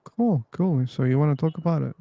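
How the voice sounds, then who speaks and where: A man's voice: fairly high pitch, fairly quick, vocal fry, no echo, muffled recording. A man hosting a casual live-stream discussion on a video call, chatting idly and repeating words while he waits. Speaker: host. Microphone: computer mic on a video call.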